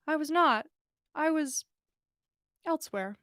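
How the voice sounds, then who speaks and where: A woman reading the part of a gentle, dreamy, curious young woman in a story. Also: high voice, expressive, takes it slowly, no room sound, studio quality, voice pushed up, exaggerated voice. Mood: solemn.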